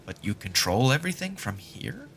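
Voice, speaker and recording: A probing, sceptical male scientist, played by a man in an audio drama. His mid-pitched voice is bright and moves around a lot, over music. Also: very animated, normal speed, creaky. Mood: inquisitive, sceptical. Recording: music under the voice.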